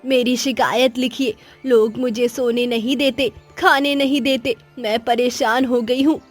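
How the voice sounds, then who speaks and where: A woman's voice: on the high side, steady tone, measured pace, faint music behind. A woman voicing a cranky, scheming old village woman who whines and makes dramatic demands in a children's cartoon. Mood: demanding. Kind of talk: children's cartoon moral story acting.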